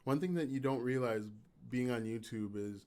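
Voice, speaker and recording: A man hosting a casual live stream, with a raspy voice and an even-keeled, low-energy manner, halting with fillers. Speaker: YouTube channel host.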